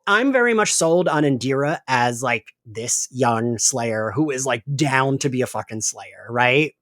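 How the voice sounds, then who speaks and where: A man's voice: mid-range voice, animated, a little slow, a bit bright, no room sound, clean studio sound. A man co-hosting a casual fan podcast, enthusiastic and rambling, swearing freely. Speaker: podcast co-host.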